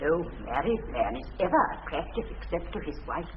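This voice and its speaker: An actress playing a prim, pedantic middle-aged English governess, coyly amorous and lecturing, in a period radio comedy. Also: female voice, low voice, sing-song, a little fast. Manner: lecturing, emphatic, fussy. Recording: dull old radio sound, faint noise.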